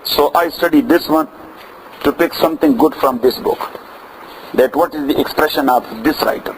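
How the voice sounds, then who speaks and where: A man's voice: a little high, moderate pace, a little hiss or hum, low-quality recording. A man with a Pakistani accent, English not his first language, lecturing to students, repeating phrases and checking that they follow. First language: neither Arabic nor English. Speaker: teacher. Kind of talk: classroom lecture on inheritance law.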